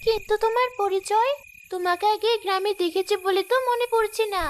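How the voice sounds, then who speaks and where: A woman's voice: very high voice, fairly even intonation, a little fast, heavy background noise. A woman voicing a kind, dutiful young village daughter in a cartoon, with faint crickets behind. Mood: curious. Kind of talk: dubbed cartoon dialogue.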